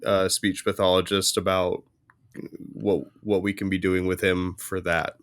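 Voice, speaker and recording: A man talking casually as a podcast panelist, phrasing things in a halting, hesitant way. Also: sits low, flat delivery. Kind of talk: casual discussion and case role-play.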